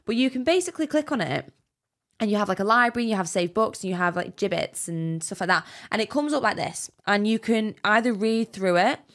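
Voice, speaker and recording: A woman's voice: mid-range voice, expressive, brisk, fairly bright tone. A woman in her thirties co-hosting a podcast in a small studio, chatting loosely and trailing off mid-thought. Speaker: podcast co-host. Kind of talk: casual chat. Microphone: studio mic on arm, headphones.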